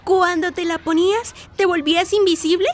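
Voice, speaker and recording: A woman's voice: very high-pitched, steady tone, normal speed, slight background noise. A woman playing an eager, curious small child in a radio drama.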